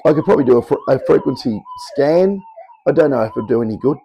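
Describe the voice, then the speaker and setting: A middle-aged Australian man with a croaky, worn voice from talking all day, rambling casually as he demonstrates a build in his home workshop. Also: medium pitch, lively intonation, fast talker, muffled recording, slight background noise. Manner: rambling, offhand, chatty explaining. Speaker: electronics hobbyist host. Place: cluttered home workshop, indoors. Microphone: camera mic on tripod.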